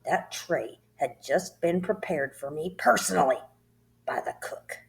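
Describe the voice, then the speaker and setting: A woman voicing a tyrannical headmistress who barks insults and shouts at a pupil in a storybook reading. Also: low voice, measured pace, creaky. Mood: furious. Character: bellowing tyrannical female headmistress, bullying.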